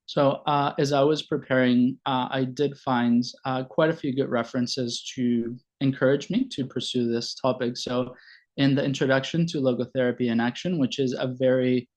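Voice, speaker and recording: A man in his forties from Venezuela gives an informal online presentation, speaking haltingly with frequent pauses. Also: medium pitch, steady tone, darkish tone. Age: 40s. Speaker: general practitioner giving a presentation. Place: online video meeting. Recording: dull lo-fi online call audio.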